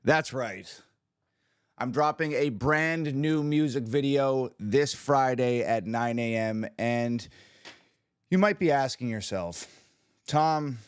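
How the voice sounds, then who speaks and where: A man hosting his own livestream, a musician chatting casually with viewers, upbeat and hyped, his voice a little raspy from screaming the night before. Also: medium pitch, no echo, studio quality. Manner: upbeat, hyped, talks to the chat.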